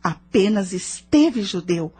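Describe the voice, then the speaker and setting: A Brazilian woman acting a patient, moralizing spirit mentor in an audio drama, measured, probing and didactic. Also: medium pitch, expressive, a little mellow, slightly rough. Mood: patient, instructive.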